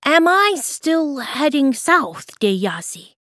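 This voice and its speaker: A woman's voice: high-pitched, big pitch swings, takes it slowly, no echo, studio quality, pitched above the performer's own voice, exaggerated voice. A woman voicing a brave, polite young boy explorer in a children's story, with an earnest, eager manner. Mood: friendly, confident. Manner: bright, earnest, courteous.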